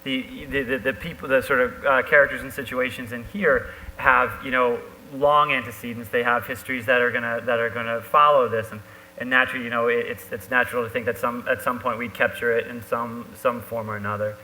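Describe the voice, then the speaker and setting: A man speaking as an author in a live panel conversation, haltingly, often restarting and repeating his words. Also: medium pitch, steady tone. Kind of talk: live on-stage conversation about a book. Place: live event hall with an audience. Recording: echoey hall, bright, faint hum.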